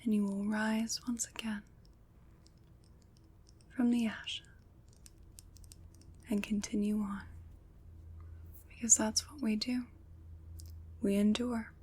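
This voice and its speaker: A woman whispering gently and reassuringly with deliberate pauses, in an ASMR roleplay as a kind, soothing witch. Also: mid-range voice, flat delivery, husky. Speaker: ASMR performer.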